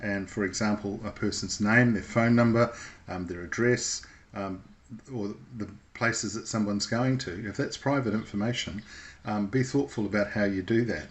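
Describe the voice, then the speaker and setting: A man presenting an informal, unscripted how-to lesson in a measured, explanatory way with thoughtful, hesitant phrasing. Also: fairly low pitch. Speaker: instructor presenting a radio how-to video.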